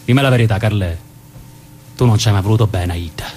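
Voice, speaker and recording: An actor playing a dead mobster, bitter and reflective, reproaching in a southern Italian accent in a stage drama. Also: male voice, quick pace, darkish tone, close to an everyday voice. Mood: reproachful. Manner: bitter, confiding, profane. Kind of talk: dialect stage drama acting. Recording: noisy recording with low rumble.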